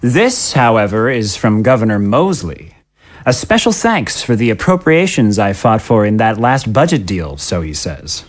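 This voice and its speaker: A man's voice: lowish voice, big pitch swings, moderate pace. A man playing a smug, ambitious congressman in an audio drama, with a wry, self-satisfied way of talking.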